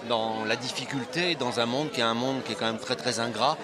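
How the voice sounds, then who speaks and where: Articulate man with crowd behind. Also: mid-range voice. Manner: measured, articulate with repeated restarts. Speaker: festival-goer. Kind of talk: vox-pop answer.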